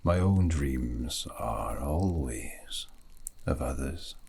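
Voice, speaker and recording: A man voicing a wistful, scholarly elf mage in a soft, breathy, half-whispered ASMR roleplay, speaking slowly and reflectively with long pauses. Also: extremely low pitch, animated, a little hiss or hum, exaggerated voice. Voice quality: breathy, gravelly, often slipping into whisper.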